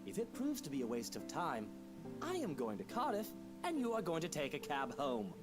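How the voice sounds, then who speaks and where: An actor with a British accent playing a grumpy, indignant husband in a visual novel game. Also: male voice, a little high, wildly swooping pitch, normal speed. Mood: indignant, grumbling. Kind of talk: game voice acting. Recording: music behind the voice.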